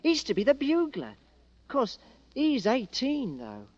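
A scrappy young Cockney army boy, acted by a woman, high in pitch and animated in tone. He sounds sardonic, in vintage radio play style, on a dull old recording. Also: unhurried, heavily acted. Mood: scoffing. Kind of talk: 1940s-50s radio drama acting.

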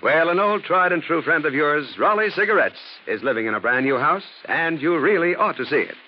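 A man working as a radio announcer, delivering scripted introductions and sponsor pitches in 1940s style. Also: expressive, fairly quick. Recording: muffled, slightly noisy old radio recording.

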